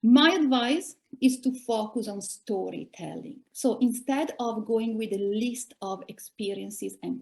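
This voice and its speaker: A woman in her forties from Italy with an Italian accent, giving measured career advice as a coach in a webinar talk. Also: a little high, gravelly, warm tone. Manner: measured, advisory, addresses listeners directly. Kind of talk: webinar presentation.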